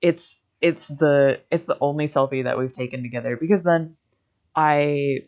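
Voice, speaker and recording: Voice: medium pitch, moderate pace, darkish tone. A young adult from Michigan with an American accent, chatting casually on a livestream in a rambling, backtracking way full of repeated phrases. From Michigan, United States.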